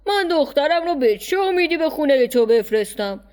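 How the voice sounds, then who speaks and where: A woman's voice: high-pitched, expressive, a little mellow, pitched above the performer's own voice. A woman voicing a stern, protective middle-aged uncle who scolds curtly in a storybook tale. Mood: scolding. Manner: curt, scolding.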